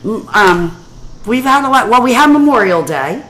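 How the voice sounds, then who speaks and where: Hoarse, chatty woman in her fifties. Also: on the high side, expressive, normal speed, a bit bright, rough recording. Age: 50s. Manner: chatty, laughing often. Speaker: podcast co-host. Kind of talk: casual chat.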